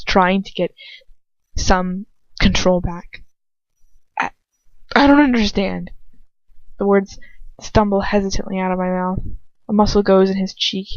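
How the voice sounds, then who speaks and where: A woman's voice: on the low side, dry recording, dull audio. A woman reading first-person fiction aloud as an amateur narrator, in a dramatic style. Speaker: amateur audiobook reader.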